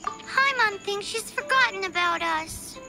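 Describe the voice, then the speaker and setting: A tiny, cheeky fairy sidekick, squeaky and extremely high, with childish, animated delivery. It sounds like video game acting over loud music. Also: female voice, measured pace, creaky, heavily acted. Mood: childish complaining. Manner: talks about self in third person, childish. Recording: game music playing behind.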